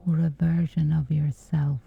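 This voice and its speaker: A woman with a whispery voice, slowly and gently guiding a sleep meditation. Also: sits low, little pitch movement, creaky, husky, phone-quality sound, faint music behind. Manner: slow, soothing, unhurried. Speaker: meditation guide.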